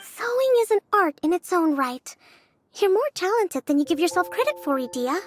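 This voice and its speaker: Very high-pitched, excitable little girl. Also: normal speed. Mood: admiring. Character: excitable little girl performer playing a fiery mage.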